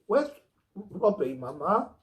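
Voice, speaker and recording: A man voicing an excitable, tearful little girl from a storybook, pleading and shrill. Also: takes it slowly, heavily acted. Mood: anxious. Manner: childlike, pleading, fierce when upset. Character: tearful, excitable little girl who adores her robot. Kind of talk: storybook character reading.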